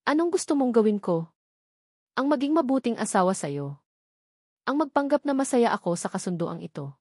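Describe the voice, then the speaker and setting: A woman's voice: a little high, very animated, moderate pace, a little mellow, slightly rough, no room sound, exaggerated voice. Woman doing a spoiled rich wife for an audio drama, bitter n taunting, dry close sound. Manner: haughty, taunting.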